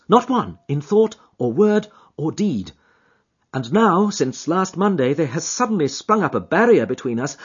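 A man voicing a distressed, reserved man in his thirties who speaks in sharp, jerky outbursts. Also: a little high, slightly rough, lo-fi sound, pitched above the performer's own voice.

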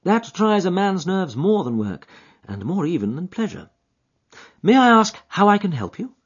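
A man's voice: sits high, sing-song, normal speed, husky, highs cut off, voice pushed up, exaggerated voice. A man voicing a composed, shrewd middle-aged detective in an easy, genial, precise manner. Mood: assured.

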